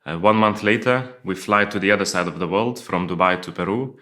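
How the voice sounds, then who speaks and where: Deep-voiced hesitant man from Lithuania. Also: a little fast, bright, forceful tone. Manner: hesitant, many fillers and restarts. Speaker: guest sharing testimony. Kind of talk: personal testimony in an interview.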